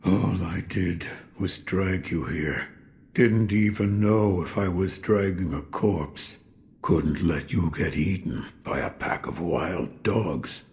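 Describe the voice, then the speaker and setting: An actor playing a weary, sardonic old hermit sculptor in a video game, blunt and cryptic, with a gravelly voice. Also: male voice, slow, a little mellow. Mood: dry, gruff. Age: older. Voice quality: gravelly, low. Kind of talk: video game cutscene acting.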